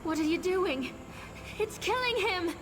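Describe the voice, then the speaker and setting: A woman's voice: very high-pitched, expressive, a little slow, background noise. Earnest elven seer woman. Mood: alarmed, urgent. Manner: earnest, serious. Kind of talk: video game dramatic acting.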